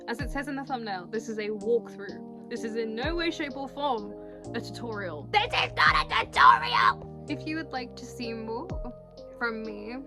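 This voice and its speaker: A young woman with a bubbly, expressive and slangy manner, chatting to camera as a video creator introducing her vlog. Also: on the high side. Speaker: cosplay video creator and host. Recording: music playing behind the voice. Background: music.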